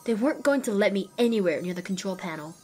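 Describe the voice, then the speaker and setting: A woman's voice: medium pitch, expressive, quick pace, warm tone, breathy edge, faint music behind. A woman voicing a young, determined and wry female pony who narrates her own adventure in an audio drama. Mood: resolute. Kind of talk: scripted first-person narration in audio drama.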